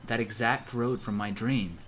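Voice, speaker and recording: A man's voice: mid-range voice, steady tone, measured pace, fairly bright tone, slight background noise. A young man, an amateur, reading a visual novel aloud with casual banter and stumbling over words as he goes. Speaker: let's-play co-host.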